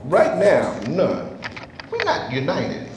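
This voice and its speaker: A man's voice: on the high side, wildly swooping pitch, slow, full character voice. An actor in a staged reading, playing an impatient, fed-up man who speaks in an impassioned, indignant way. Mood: heated. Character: fed-up, impatient man demanding his share. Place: theater before a live audience. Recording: echoey room, faint background noise.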